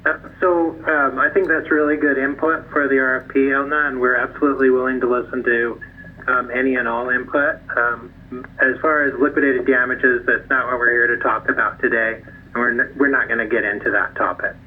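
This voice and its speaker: A man's voice: mid-range voice, steady tone. Man on a tinny, noisy phone line. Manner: measured, diplomatic, halting. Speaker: official leading a stakeholder conference call. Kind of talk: explanatory answers on a conference call. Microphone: telephone conference line. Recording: tinny phone line, clear background noise.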